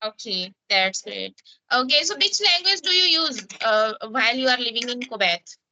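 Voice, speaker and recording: A woman with an Indian accent chats over an app call. Her voice sits high, and her tone is bright. She hesitates often and fills gaps with "uh" and "okay" while she asks her questions. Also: expressive, normal speed, poor audio. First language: Hindi. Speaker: language practice partner. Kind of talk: casual practice conversation.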